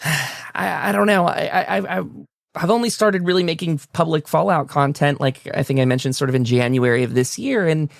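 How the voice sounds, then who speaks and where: A man's voice: on the high side, moderate pace, vocal fry, no room sound, clean studio sound. A man hosting a casual interview show, speaking effusively and often stammering over repeated words. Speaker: talk show host.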